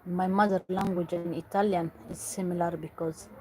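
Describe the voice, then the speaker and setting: A woman's voice: fairly low pitch, little pitch movement, moderate pace, noisy background. A woman from Eritrea, earnestly giving parenting advice in English and repeating herself for emphasis. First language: Tigrinya or other Eritrean language. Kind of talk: earnest talk to camera.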